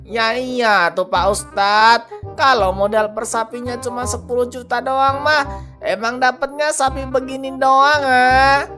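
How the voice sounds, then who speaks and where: A woman voicing an earnest, defensive male cattle trader in a cartoon, with drawn-out exclamations and questioning tags. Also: sits high, steady tone, music in the background. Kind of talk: animated cartoon dialogue.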